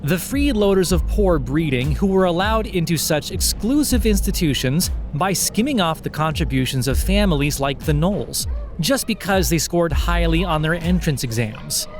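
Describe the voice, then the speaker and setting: A man reads a scripted satirical story as a podcast narrator, measured and deliberate, with wry irony. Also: fairly high pitch, animated, faint music behind. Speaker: narrator of an audio fiction podcast.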